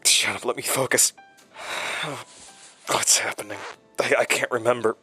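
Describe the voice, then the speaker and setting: An actor playing a confused, tormented man who has lost his memory, speaking in a halting, strained stammer in a horror audio drama. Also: medium pitch, fairly even intonation, sharp tone, soft background music, natural voice. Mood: agitated, confused. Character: confused, tormented, forgetful man of frail, growing power.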